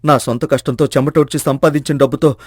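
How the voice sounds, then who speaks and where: A man's voice: mid-range voice, steady tone, quick pace, dry recording, clean studio sound, natural voice. A man playing an earnest, humble reformed thief. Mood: earnest, grateful. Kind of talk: scripted moral-story dramatization.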